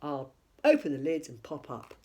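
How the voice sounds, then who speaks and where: A hungry, simple-minded troll from a children's storybook, speaking in a lower, plodding voice that sounds pleased with itself, as a woman reading aloud puts him on. His delivery is slow and heavy. He comes across as a dim creature who is content with his own plans. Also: big pitch swings, mellow, breathy edge, full character voice.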